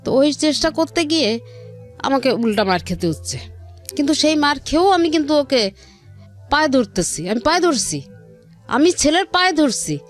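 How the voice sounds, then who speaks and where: Middle-aged Bangladeshi woman, high voice, earnest interview guest recounting her life, repeating phrases, music underneath. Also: lively intonation, a little fast, pauses often. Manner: earnest, repeats phrases, acts out quoted speech. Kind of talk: personal storytelling in an interview. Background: background music.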